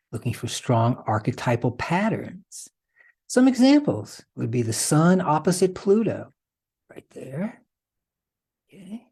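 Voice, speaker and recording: Slightly husky male podcast host. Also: medium pitch, mellow. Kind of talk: casual podcast narration with explanation.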